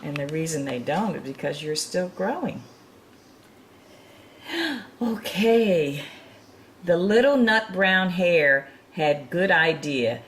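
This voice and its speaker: A woman's voice: fairly low pitch, lively intonation, takes it slowly, long pauses, fairly bright tone, a little hiss or hum. A woman reading a picture book aloud to young children, with chatty, encouraging asides and chuckles over the pictures. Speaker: children's storytime reader.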